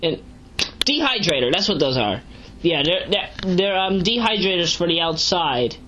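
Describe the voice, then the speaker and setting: A woman's voice: lowish voice, expressive, normal speed, noisy background. A woman giving casual, unscripted review commentary as an amateur reviewer, rambling through digressions and emphatic asides. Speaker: amateur web series reviewer. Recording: dull lo-fi home recording.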